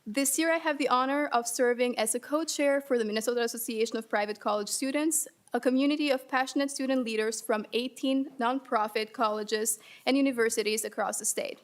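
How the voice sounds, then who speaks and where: A young woman from Albania, a college senior and student leader, reading a brisk formal statement into a desk microphone at a hearing. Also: fairly high pitch. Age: young adult. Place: legislative hearing room.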